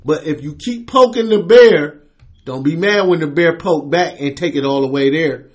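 A man's voice: a little high, normal speed. A man in his late forties from the US Midwest, co-hosting a podcast and telling blunt, preachy stories with plenty of profanity. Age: 40s. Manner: forceful, preachy storytelling, profane. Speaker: podcast co-host. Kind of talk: casual debate and storytelling.

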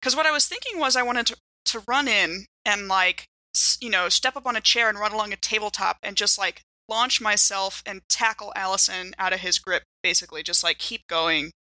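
A woman talks casually as a player in a tabletop game, working out her moves aloud. Her voice is mid-pitched and notably bright. It is recorded dry and close, without room echo. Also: fairly quick, few pauses. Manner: thinks aloud with frequent "like". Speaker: player in an actual-play podcast. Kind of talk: casual chat, improvised game description.

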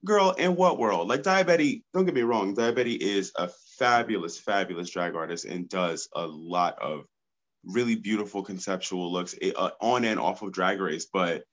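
A man's voice: low-pitched, normal speed, a bit bright, highs cut off. A congested man, a podcast guest, talking enthusiastically and outspokenly. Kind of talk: casual podcast chat.

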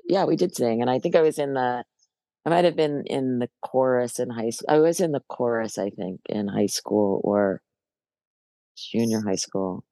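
An older American woman from New Jersey with a low, gravelly voice, talking through memories in a relaxed interview, often hesitating and laughing. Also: moderate pace, dry recording. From New Jersey, USA. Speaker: guest musician. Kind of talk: casual interview chat.

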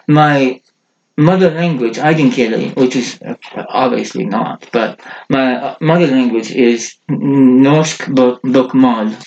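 A man's voice: mid-range voice, darkish tone, dull audio. A man, a podcast co-host, chatting casually in a rambling, joking way with many restarts. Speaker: podcast co-host, multisport athlete. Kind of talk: casual chat.

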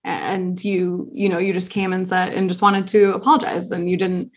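A woman's voice: lowish voice, flat delivery, normal speed. Earnest young woman chatting on a podcast. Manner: earnest, many fillers and restarts. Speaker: podcast host. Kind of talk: casual conversation.

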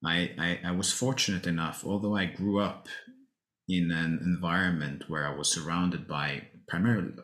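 A man from Norway speaking in a measured, thoughtful way with some hesitations, hosting a reflective conversation. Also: low voice, fairly even intonation, fairly bright tone, echoey room.

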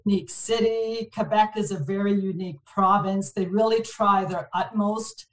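A woman's voice: medium pitch, steady tone, normal speed, far from the mic. Echoey woman from Montreal. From Montreal, Canada. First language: French. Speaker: podcast guest, distribution manager. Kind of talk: casual interview chat. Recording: echoey, set back from the mic.

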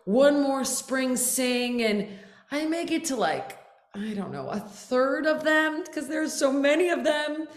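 A woman's voice: sits high, takes it slowly, reverberant. A woman from the United States, a fitness expert who is a guest on a casual interview, talking candidly and with animation, sometimes dropping to a whisper for quoted asides.

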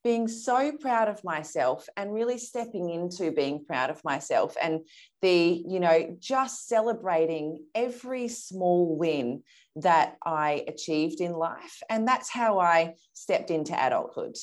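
Australian woman with a slightly low voice. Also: animated, a little slow. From Perth, Australia. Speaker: interview guest. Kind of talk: conversational personal storytelling.

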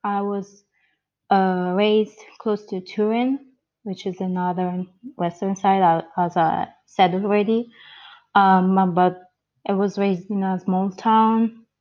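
A woman in her thirties with an Italian accent, a guest on an interview podcast who answers slowly and hesitantly, with many pauses and restarts. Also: mid-range voice, steady tone, muffled recording. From born in the US, grew up in Italy.

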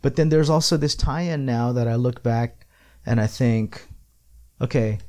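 A man's voice: mid-range voice, measured pace, darkish tone. A man born in the Philippines hosting a podcast, chatty and affirming, thinking aloud with frequent repeats. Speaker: podcast host. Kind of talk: casual interview chat.

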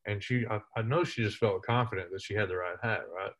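A man's voice: lowish voice, quick pace. A man from West Texas, telling humorous stories as an interview guest. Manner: lighthearted, humorous storyteller. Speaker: interview guest, military veteran. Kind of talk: storytelling in casual interview.

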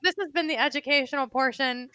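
A young woman with a Cuban accent co-hosting a podcast in animated, slangy chat. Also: sits high, fast talker, dry recording. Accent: Cuban Spanish-influenced English. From Cuban heritage. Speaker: podcast co-host. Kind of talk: casual chat.

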